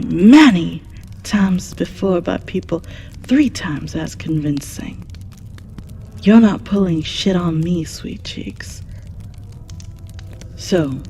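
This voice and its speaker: A woman's voice: fairly low pitch, mellow, slight background noise. A woman playing a jaded, mocking keeper of the underworld, brash, foul-mouthed and drawling, in an audio drama. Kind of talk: scripted audio drama monologue.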